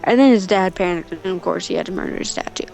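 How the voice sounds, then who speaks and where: A woman's voice: fairly low pitch, fast talker, creaky, a little mellow, faint music behind. A woman telling a story casually and off the cuff, rambling, with offhand asides. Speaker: storyteller on a gaming channel.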